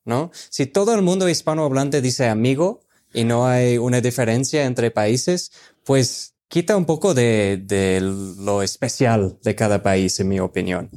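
A man's voice: medium pitch, darkish tone. Upbeat male host with brief reactions. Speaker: host asking about words. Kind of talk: casual conversation.

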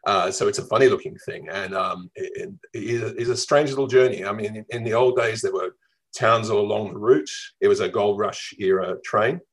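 A middle-aged man with a mild Melbourne accent speaks in a fairly low voice. He tells his stories in a reflective, lively way, circling back with ums and small restarts. It sounds like an easygoing radio interview guest. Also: brisk, poor audio. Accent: Melbourne, Australian. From Australia. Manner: reflective, lively storytelling with fillers. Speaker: travel writer guest.

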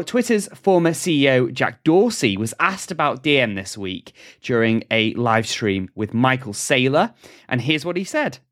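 Brief: male podcast host, lively pitch, wry humor, reads news segments. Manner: wry, joking, shifts into a scripted news read. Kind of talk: casual podcast chat and news reading.

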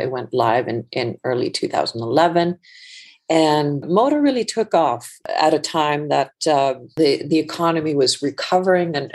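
A woman's voice: low-pitched, a little mellow. A woman from Iceland in her forties, a guest entrepreneur talking through her career in a conversational interview, often restarting and repeating words as she thinks.

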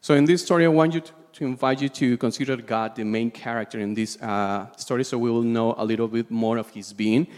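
Peruvian preacher in a reverberant hall. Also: male voice, medium pitch, steady tone, a little mellow. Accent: Peruvian Spanish. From Peru. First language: Spanish. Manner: halting, searching for words in a second language. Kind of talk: sermon.

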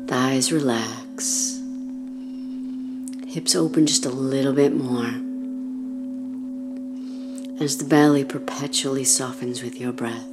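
A woman leading a guided meditation in a soft, breathy, soothing voice with gentle pauses, often close to a whisper. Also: sits low. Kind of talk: guided meditation, body scan narration.

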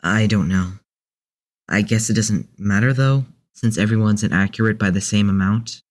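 A man's voice: lowish voice, moderate pace, close to an everyday voice. A man playing a mild, easygoing and slightly hesitant character in an audio drama. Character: easygoing, nostalgic man, mild peacemaker of the group.

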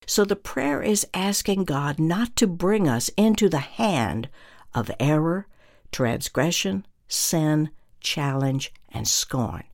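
A minister gives a scripted religious teaching with a medium-pitched voice. The delivery is earnest and deliberate, setting out phrases with emphasis. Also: expressive, creaky.

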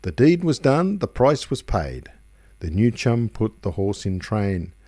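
A man reciting a rhyming tale as a radio storyteller, in measured, rhythmic phrases. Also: fairly low pitch, sing-song, a little mellow, no room sound, studio quality. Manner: measured, rhythmic verse delivery. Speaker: radio reader. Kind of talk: expressive poetry recitation, storytelling.

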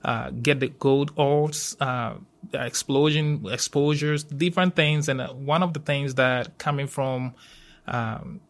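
A man with a Ghanaian accent speaks conversationally, as a guest in an interview. His pitch is average and stays fairly flat, and he moves haltingly, often repeating and rewording a phrase. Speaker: university safety professional, interview guest.

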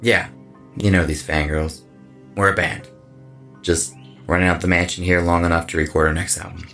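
An actor plays an evasive, cocky rock musician in a horror drama. His low voice is offhand and guarded, with music faintly behind it. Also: flat delivery, moderate pace, a bit bright. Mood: casual. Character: evasive, cocky male metal-band musician.

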